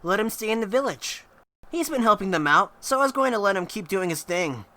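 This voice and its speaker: A woman's voice: mid-range voice, sing-song, a little fast, pushed and bright. A woman voicing a cocky, easygoing young male hedgehog hero in a cartoon comic dub, teasing and breezy. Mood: challenging, frank.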